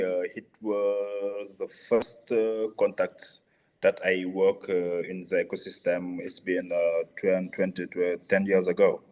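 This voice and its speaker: Senegalese man, French accent, very deep flat voice, halting panel answers. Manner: halting, searching for words with frequent uh fillers. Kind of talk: panel discussion.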